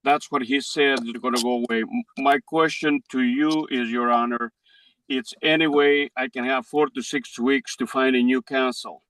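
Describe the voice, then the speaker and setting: An older man with a Greek accent, Greek his first language, pleading his case to a judge in halting, broken English. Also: medium pitch, steady tone, a bit bright, dry recording, rough recording. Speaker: defendant in court. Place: courtroom.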